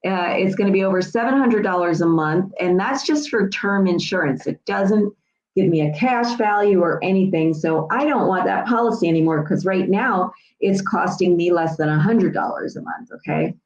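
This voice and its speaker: A woman's voice: medium pitch, moderate pace, a little mellow. A woman in her sixties hosting a casual explanatory talk, chatty and repetitive, often checking in with her listeners. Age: 60s. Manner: chatty, repeats herself, checks in with "all right?" and "okay?". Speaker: host. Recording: echoey room, dull lo-fi sound.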